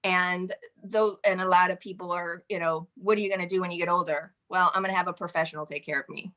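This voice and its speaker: A woman's voice: fairly low pitch, steady tone, quick pace, bright, forceful tone, low-quality recording. A woman in her forties, guest in a casual interview, talking in a chatty, rambling way with frequent laughs. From Michigan. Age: 40s. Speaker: interview guest, modeling agency owner.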